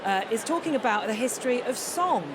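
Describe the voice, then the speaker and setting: A woman's voice: on the high side, lively intonation, a little fast. A woman presenting a live radio interview, chatty and enthusiastic in manner. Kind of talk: live broadcast interview and commentary. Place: large concert hall during the interval. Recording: clear crowd and venue noise.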